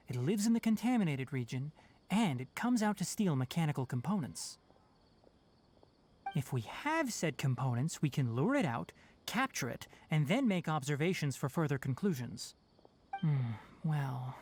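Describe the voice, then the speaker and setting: An actor playing a calm, analytical young male forest ranger who explains his plans in a measured, matter-of-fact way in a game cutscene. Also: fairly high pitch, a little hiss or hum. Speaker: forest watcher.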